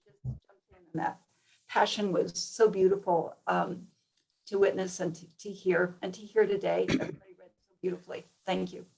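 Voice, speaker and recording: A woman from Minnesota giving a heartfelt personal reflection as a panelist, in a creaky, husky voice. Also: on the low side, little pitch movement, a little fast, darkish tone, low-quality recording. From Minnesota, United States. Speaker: writer, panelist.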